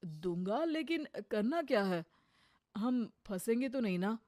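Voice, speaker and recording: A woman's voice: fairly high pitch, animated, a little slow, dark tone. A woman voicing a timid young husband, hesitant and worried, in a moral story. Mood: worried, reluctant. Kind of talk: acted Hindi moral story.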